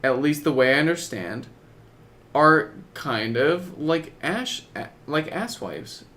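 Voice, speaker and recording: A young man co-hosting a podcast, chatting casually in a halting, skeptical manner. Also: fairly high pitch, expressive, a bit bright. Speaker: podcast co-host. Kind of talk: casual chat about video games.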